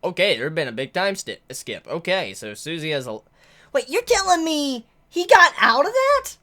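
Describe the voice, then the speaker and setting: A woman giving a chatty, excitable live manga reaction while congested from a head cold. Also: medium pitch, normal speed. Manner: excitable, chatty, exclaims often.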